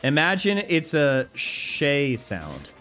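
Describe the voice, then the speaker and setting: A man's voice: on the high side, wildly swooping pitch, slow, sharp tone, faint music behind. A young man streaming a video game, chatting casually with his viewers, reading their messages aloud and laughing easily. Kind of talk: casual gameplay commentary.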